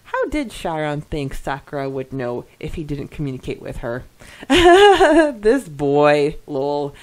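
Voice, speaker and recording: A woman with a slightly low-pitched voice co-hosts a podcast. She reads listener comments and chats casually, with an expressive, giggly manner and frequent exclamations. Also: slow. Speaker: podcast co-host.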